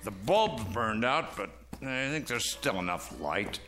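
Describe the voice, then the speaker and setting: A man's voice: mid-range voice, animated, unhurried, pushed and bright, faint music behind. An actor playing a cranky, nostalgic old man in a radio drama, grumbling and theatrical. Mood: coaxing. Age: older.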